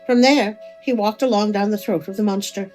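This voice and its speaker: A woman's voice: mid-range voice, fairly even intonation, brisk, darkish tone. Woman storyteller over background music. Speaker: storyteller, host of a story channel. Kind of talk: expressive storytelling narration. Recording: music under the voice.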